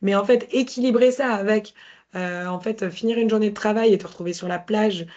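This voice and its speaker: A woman's voice: medium pitch, a little fast, darkish tone, highs cut off. A woman speaking conversationally as an interview guest, candid and reflective, often searching for words. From Paris, France. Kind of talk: conversational personal testimony.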